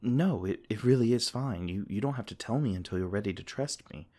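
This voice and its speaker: A man playing a gentle, protective male fae king in a comforting ASMR roleplay, soft-spoken and reassuring. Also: lowish voice, fairly quick, lightly acted.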